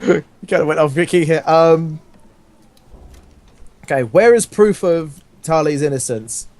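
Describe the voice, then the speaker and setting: A man's voice: fairly high pitch, a little slow, darkish tone, no room sound. A man reading fan fiction aloud in a casual, comic way, amused and often breaking into laughter.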